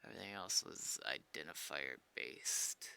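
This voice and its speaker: Give a man streaming his programming work, talking to himself in a casual, profane mutter. His delivery is slow but moves freely up and down, with crisp, clean sound. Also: very deep voice, animated, sharp tone. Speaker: streamer doing game server development. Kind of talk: casual thinking aloud while coding.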